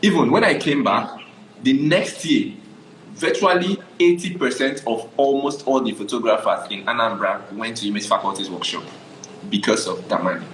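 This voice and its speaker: A man's voice: mid-range voice, normal speed, fairly bright tone, roomy sound, slight background noise, poor audio. A young adult Nigerian man, a photographer guest, telling his story in a casual interview, often checking "do you understand?".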